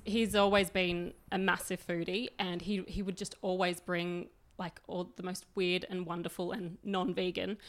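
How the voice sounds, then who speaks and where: A woman's voice: fairly low pitch, a bit bright. A woman in her thirties from New South Wales with an Australian accent, chatting openly as an interview guest. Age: 30s. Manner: open, chatty storyteller. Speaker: guest, recipe developer. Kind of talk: casual interview.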